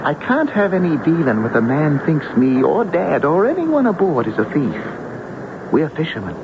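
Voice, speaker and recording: A man's voice: a little high, wildly swooping pitch, normal speed. An actor playing a plain-spoken, teasing young fisherman in folksy, salty radio drama style. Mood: indignant, blunt. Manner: folksy, salty seafaring talk with ain't and afore. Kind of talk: old-time radio drama acting. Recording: muffled, noisy vintage radio recording.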